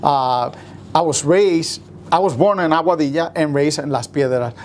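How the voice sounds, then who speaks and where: A man from Rico, a musician telling his story in an interview with many pauses and fillers. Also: fairly high pitch, slight background noise. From Puerto Rico. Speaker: guest musician.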